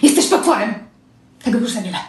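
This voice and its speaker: A woman's voice: on the high side, big pitch swings, quick pace, a little mellow. A young woman playing a suspicious, melodramatic wife and mother, making accusing, theatrical outbursts, recorded on a phone at home. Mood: outraged. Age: young adult. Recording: roomy home room, faint hiss.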